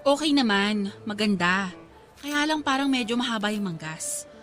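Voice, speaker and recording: A woman's voice: medium pitch, animated, normal speed, fairly bright tone, close to an everyday voice. A woman acting a practical, scolding young best friend in a Tagalog radio drama, with a Filipino accent. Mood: doubtful. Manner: scolding, preachy, exclamatory. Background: music.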